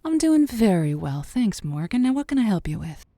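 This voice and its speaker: A woman playing a polite, awkward call-in radio host in a comedic audio drama, halting and prone to stammer. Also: mid-range voice, sing-song, warm tone, no room sound, studio quality. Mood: composed, friendly.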